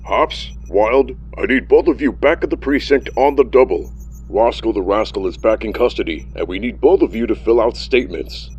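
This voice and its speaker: A man voicing a gruff male buffalo police chief giving orders over a radio speaker. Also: moderate pace, a little mellow, lo-fi sound. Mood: commanding. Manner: booming, commanding. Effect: heard over a radio speaker.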